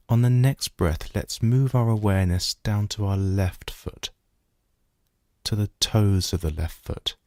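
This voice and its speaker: Guy leading a guided meditation, really low voice, gentle, lots of pauses, close dry sound. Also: no echo. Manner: gentle, unhurried instruction with measured pauses. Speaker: meditation teacher. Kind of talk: guided body scan meditation.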